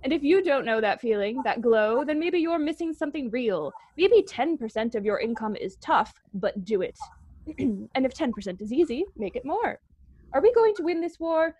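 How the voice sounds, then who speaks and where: A woman's voice: high voice, very animated, moderate pace, a little mellow. A woman announcing on radio in an earnest, exhortative scripted style, like a 1940s wartime appeal.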